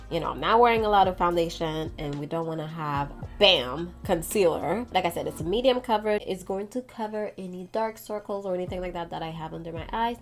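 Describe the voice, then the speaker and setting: A woman giving a casual makeup tutorial in a chatty, playful, slangy way. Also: fairly low pitch. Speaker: beauty vlogger.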